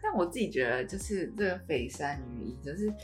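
A woman's voice: deep voice, lively intonation, fast talker, soft background music. A woman with a Taiwanese Mandarin accent co-hosting a podcast, chatting and commenting in a casual, colloquial way. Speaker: podcast co-host. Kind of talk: casual chat.

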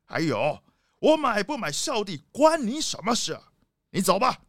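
A man's voice: sits high, big pitch swings, a little slow, fairly bright tone, dry recording, clean studio sound, exaggerated voice. A man playing a boastful, irritable rich leopard boss in a children's audio drama, brusque and dismissive. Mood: irritated.

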